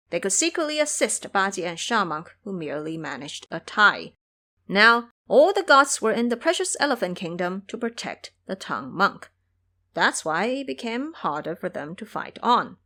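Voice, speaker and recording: A woman's voice: medium pitch, sharp tone. A woman reading scripted storybook narration for an audio drama.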